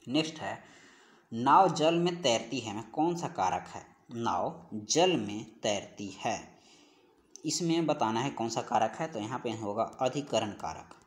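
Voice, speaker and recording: A man teaching an exam-prep grammar lesson, reading out questions and answers and repeating each one, slowing down on key phrases. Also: medium pitch.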